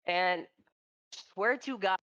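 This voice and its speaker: Male co-host, nasal and stuffy, sharp tone, dull lo-fi sound, swears and rambles. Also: high-pitched, slow, clean tone, no echo. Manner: profane, rambling storyteller. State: stuffy from allergies. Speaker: podcast co-host. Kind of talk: casual esports podcast chat.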